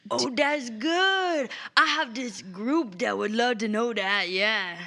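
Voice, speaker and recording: A woman's voice: a little high, expressive, takes it slowly, pushed and bright, full character voice. A woman voicing an earnest, folksy adventurer in a tabletop game, speaking in simple, hesitant phrases with broken grammar. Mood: concerned, kind.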